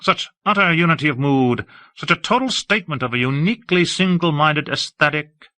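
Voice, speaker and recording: Man voicing airy male socialite, high, lively, bright, dry studio sound. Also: brisk, dry recording. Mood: airy, breezy. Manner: breezy and airy. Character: airy, languid male socialite, teasing and light.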